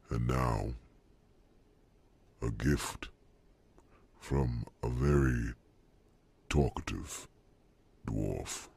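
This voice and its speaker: A man playing an ancient, kindly, wry druid mentor in a fantasy ASMR roleplay, with a breathy, gravelly rasp and deliberate, theatrical pauses. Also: rumbling low, dry recording, full character voice. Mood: proud, proclaiming.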